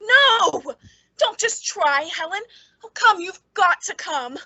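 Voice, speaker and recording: A woman's voice: very high-pitched, expressive, slow pace, bright, forceful tone, dry recording, full character voice. An actress playing a nervous, secretly bitter woman with a hesitant, pleading manner in an old-time radio suspense drama. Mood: urgent, pleading.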